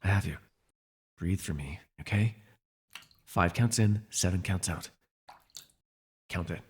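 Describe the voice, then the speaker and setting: A man's voice: low voice, slow, slight background noise. A man playing a sardonic telepath villain who is a reluctant, protective mentor in a superhero audio roleplay, intense and emotive. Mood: tender, soothing. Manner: intense, emotive, swinging between biting and tender.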